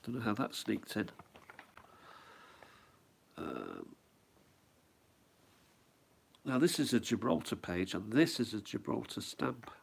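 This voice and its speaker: A man's voice: lowish voice, very animated, a little slow, gravelly, long pauses, breathy edge. A middle-aged man with a head cold, chatting casually at home as he shows off his stamp album and muses aloud. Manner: rambling, musing aloud, asks himself questions. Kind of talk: casual show-and-tell.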